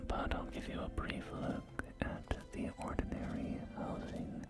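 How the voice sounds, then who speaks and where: A man performing a whispered ASMR roleplay as a courteous real estate agent, speaking in polite, hesitant sales patter, with music behind him. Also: measured pace. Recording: whisper under loud background music. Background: music and game audio.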